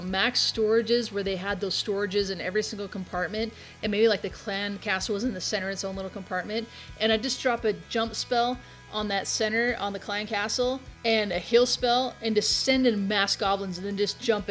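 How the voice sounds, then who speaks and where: A woman in her thirties answers viewer questions in a relaxed, chatty way. She sounds upbeat and mid-pitched, with faint music playing under her voice. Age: 30s. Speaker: game tutorial host.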